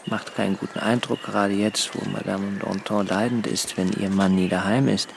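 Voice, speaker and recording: An actor playing a proud, austere revolutionary leader in a radio drama, speaking in a measured, formal, lecturing way. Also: male voice, on the low side, little pitch movement, creaky, background noise. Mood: earnest lecturing. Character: proud, austere revolutionary leader, self-effacing yet steely.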